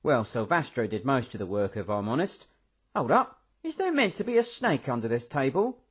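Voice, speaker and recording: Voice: medium pitch, sing-song, moderate pace, slightly rough. A reader voicing a cocky, jaunty man who brags about his escapes. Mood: easygoing. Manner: jaunty, boastful. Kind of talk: audiobook character voice.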